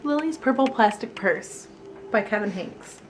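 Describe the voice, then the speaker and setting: A woman storyteller reading a children's picture book aloud with expressive, deliberate phrasing. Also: mid-range voice, soft background music.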